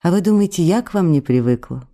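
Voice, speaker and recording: A woman's voice: fairly low pitch, animated, fast talker, dark tone. An actress playing an emotional, affectionate young stage actress who reads her candid letters expressively and intimately in a radio drama. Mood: bright, coquettish.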